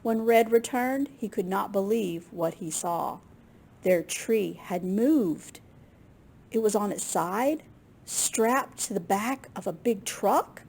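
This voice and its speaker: A woman with a slightly husky, gravelly voice reading a picture book aloud to young children in an expressive way. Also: medium pitch, slow. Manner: expressive, with gasps and questions to young listeners. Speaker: children's librarian storyteller.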